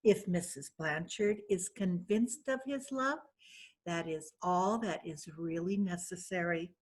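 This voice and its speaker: A woman's voice: fairly low pitch, measured pace. A woman reading a breezy coquette. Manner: airy, assured and playful. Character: breezy, coquettish young woman, carefree schemer. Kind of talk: readers theatre reading.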